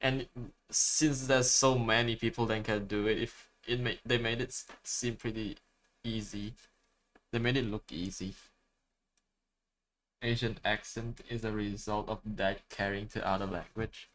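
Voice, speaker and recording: A man's voice: lowish voice, steady tone, unhurried, fairly bright tone. A man with a Malaysian English accent, chatting casually on a live stream and reading replies aloud. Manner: chatty, restarts words, reads answers aloud.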